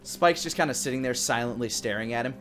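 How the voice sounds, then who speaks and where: Male game master, medium pitch, halting improvised scene description for a live tabletop RPG. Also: fairly bright tone. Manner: halting, pieces descriptions together. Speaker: dungeon master, host.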